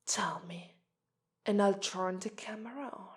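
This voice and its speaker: A woman's voice: medium pitch, a little slow, close to an everyday voice. A woman with an Italian accent playing a menacing, sadistic policewoman in an ASMR roleplay, taunting a suspect and slipping into whispers. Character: menacing, sadistic, vengeful policewoman interrogating a killer. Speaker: ASMR voice actress.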